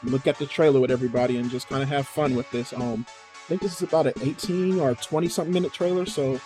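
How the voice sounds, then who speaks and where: A man's voice: mid-range voice, fairly even intonation, quick pace, music in the background. A man chatting casually and informally as a video host, with slang and swearing as he reacts to a game trailer.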